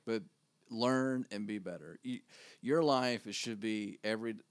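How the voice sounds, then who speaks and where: A man co-hosting a podcast with a low-toned voice, talking in a measured, reflective way. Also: dry recording. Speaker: podcast co-host, wedding photographer. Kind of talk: casual podcast chat.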